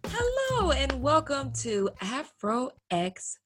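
A woman's voice: high-pitched, huge pitch swings, slow, faint music behind. A woman co-hosting a podcast with casual banter. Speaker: podcast co-host.

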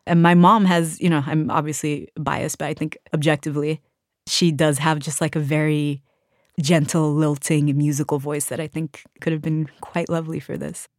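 A woman's voice: sits low, flat delivery, moderate pace, no echo, clean studio sound. A woman poet from Washington, D.C., talking reflectively and casually about her writing, with frequent filler words. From Washington, D.C., United States. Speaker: author and poet. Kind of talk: reflective podcast interview talk.

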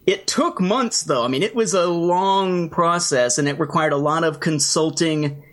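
Measured man hosting a podcast. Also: fairly high pitch, a bit bright. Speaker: podcast host. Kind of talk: casual chat.

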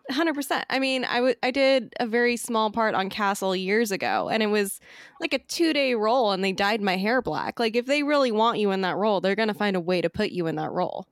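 Lively woman host, dry close sound. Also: medium pitch, brisk, dry recording. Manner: friendly, lots of backchannel affirmations. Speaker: podcast host. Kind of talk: casual interview chat.

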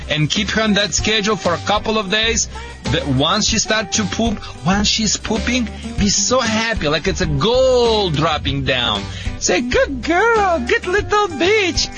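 A man, an English speaker, giving blunt, emphatic, repetitive advice on a call-in radio show. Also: high voice. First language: not English. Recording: slightly dull radio sound with music.

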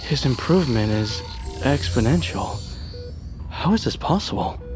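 A man's voice: medium pitch, lively intonation, measured pace, music in the background, natural voice. A man playing a troubled, questioning medical researcher in a naturalistic audio drama. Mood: troubled, puzzled. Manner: intent, questioning.

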